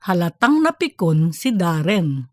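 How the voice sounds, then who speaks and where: A woman's voice: on the low side, sing-song, unhurried, dry recording, clean studio sound. A woman reading scripted narration for a comedic fiction story. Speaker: audiobook narrator.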